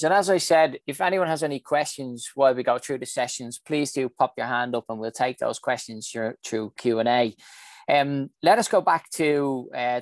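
A man in his thirties hosting an online panel on a video call, brisk and welcoming, with some fillers. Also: mid-range voice, no room sound. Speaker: session host. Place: home room indoors. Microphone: video call with earphones.

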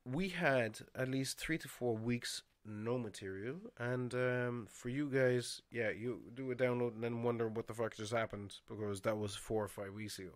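Uomo sboccato che chiacchiera in podcast. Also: male voice, mid-range voice, normal speed, few pauses, no echo. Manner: swears often, laughs a lot, animated hand gestures. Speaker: podcast host. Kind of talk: casual podcast chat. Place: small home studio with foam panels. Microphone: headphones and studio mic on boom arm.

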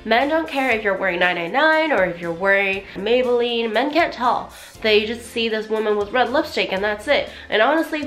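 Chatty woman with a strained, fading voice. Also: mid-range voice. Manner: animated, chatty, gestures a lot, many "like" fillers. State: losing her voice. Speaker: vlogger. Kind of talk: casual talk to camera. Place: small bedroom indoors.

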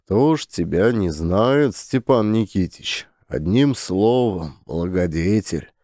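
A man's voice: deep voice, expressive, dark tone, dry recording, studio quality. A man playing a sly wheedling miner. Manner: slow, ingratiating. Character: male gold-mine worker, sly and wheedling.

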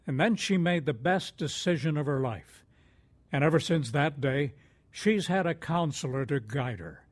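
Dry, higher-voiced male radio announcer. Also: dry recording. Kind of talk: scripted old-time radio narration.

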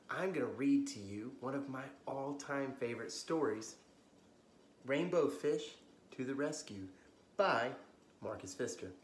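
An American man in his thirties hosting a children's story time, reading aloud expressively with gentle asides to young listeners. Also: medium pitch, a little hiss or hum. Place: living room, on a couch indoors. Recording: roomy, echoey home recording.

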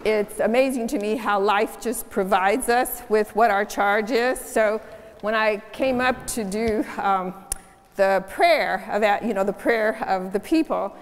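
A woman's voice: mid-range voice, a little slow. A woman pastor giving an unscripted closing charge to a congregation, speaking reflectively with fillers and restarts. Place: large church sanctuary. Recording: long church reverb.

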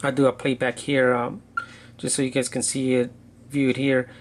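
A man's voice: medium pitch, level tone, moderate pace, a little hiss or hum. A man giving a casual, unscripted gadget demo indoors, thinking aloud a bit hesitantly as he goes. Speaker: gadget reviewer.

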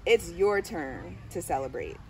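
A woman's voice: lowish voice, expressive, measured pace. Middle-aged woman reading aloud, distant outdoor mic. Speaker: school diversity director reading aloud. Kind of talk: picture book read-aloud. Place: outdoors on a garden bench under trees. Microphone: camera a few steps away. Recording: distant camera mic, outdoor background noise.

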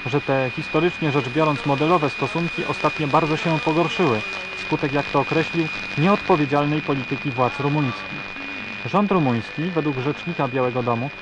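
A man playing an earnest radio announcer, reading the news in a formal, measured, serious manner. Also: fairly high pitch, lightly acted. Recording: very noisy, humming shortwave radio broadcast.

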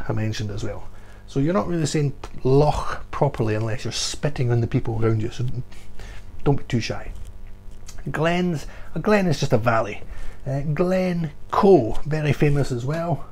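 A man's voice: medium pitch, lively intonation, a little slow, darkish tone, a little hiss or hum. Middle-aged Scottish man explaining informally. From Scotland. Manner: explanatory, conversational. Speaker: tour guide and vlog host. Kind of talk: informal educational explainer to camera. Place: small furnished room indoors. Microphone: clip-on lapel mic.

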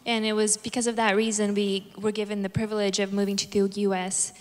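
A young woman from Russia, a church pianist, introduces herself to a congregation on stage with a handheld mic, earnest and soft-spoken with many ums. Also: medium pitch, flat delivery, a little fast. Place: church stage before an audience. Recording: long hall reverb.

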